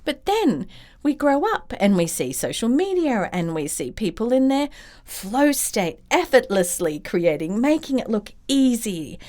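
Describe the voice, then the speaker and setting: Animated woman hosting a creativity podcast. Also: fairly high pitch, unhurried. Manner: warm, encouraging, direct to listeners. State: slightly croaky from bronchitis. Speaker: podcast host and creativity coach. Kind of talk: solo podcast talk.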